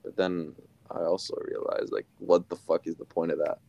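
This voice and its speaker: Laid-back young man, low voice. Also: steady tone, moderate pace, vocal fry, mellow, muffled recording. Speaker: podcast co-host. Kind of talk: casual chat.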